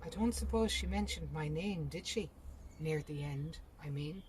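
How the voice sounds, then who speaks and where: A woman's voice: deep voice, moderate pace, darkish tone, a little hiss or hum, natural voice. A woman voicing a wistful, wounded married daughter who is proud yet pleading. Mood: anxious pleading.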